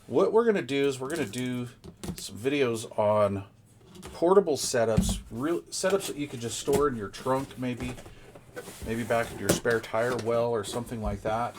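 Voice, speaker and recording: A man's voice: medium pitch, animated, moderate pace, background noise. A man giving casual unboxing commentary as a product reviewer, in a rambling, folksy, conversational way.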